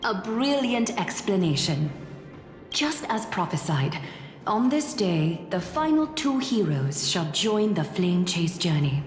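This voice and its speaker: A woman's voice: mid-range voice, very animated, takes it slowly. A woman voicing a regal, brazenly assured noblewoman prophetess in a video game, stately and theatrical in delivery. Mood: pleased, grand. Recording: echoey hall reverb, light music behind.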